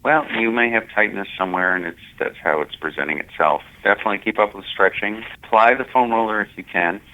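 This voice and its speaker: A man's voice: lowish voice, fast talker, a little hiss or hum. A man calling in by phone to his podcast, chatting in an upbeat, jokey way. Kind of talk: casual chat. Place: hotel, away on business. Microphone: phone call. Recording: tinny phone line.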